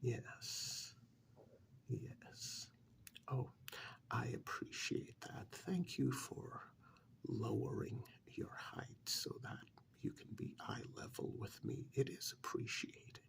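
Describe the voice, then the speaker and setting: A fussy, courteous official, acted out by a man, speaks in a soft ASMR whisper. His delivery is extremely slow and deliberate, with heavy creak roughening the edges. He sounds formal and methodical, pausing hesitantly as he goes. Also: mid-range voice, lively intonation, full character voice. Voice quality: soft, breathy whisper with gravelly edge. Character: polite, fussy, methodical male tax official auditing non-human clients. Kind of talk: soft-spoken ASMR role-play.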